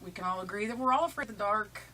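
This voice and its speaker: A woman's voice: medium pitch, lively intonation, very fast, bright, forceful tone, slight background noise. A woman in her late thirties from Ohio, speaking in a sarcastic, matter-of-fact way in an audition video. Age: 30s. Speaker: casting applicant.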